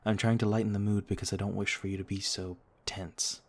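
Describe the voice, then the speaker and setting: Husky, very low-voiced noble vampire played by a man. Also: flat delivery, a little fast. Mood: gently reassuring. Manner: courtly, formal, soothing, reassuring. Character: gentle noble male vampire, over a thousand years old, looks thirty-two. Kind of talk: ASMR roleplay.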